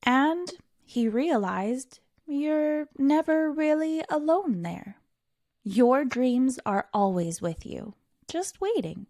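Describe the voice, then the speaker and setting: A woman with a fairly high voice reads a picture book aloud to young children. She is theatrical and unhurried, stretching key words and pausing for drama. The recording is close and dry. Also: lively intonation, darkish tone, no echo. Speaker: storytime reader.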